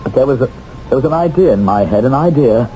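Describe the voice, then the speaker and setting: A man's voice: medium pitch, lively intonation, fast, warm tone, soft background music, lightly acted. A man playing a guilt-ridden, anguished professor. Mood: dazed. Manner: anguished, troubled. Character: guilt-ridden former police colonel turned gentle professor. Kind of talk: 1970s radio drama acting. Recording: muffled old radio broadcast, faint hiss.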